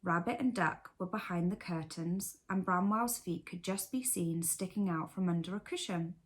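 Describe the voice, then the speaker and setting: A woman's voice: on the low side, moderate pace. A woman reading a scripted children's bedtime story aloud as the storyteller.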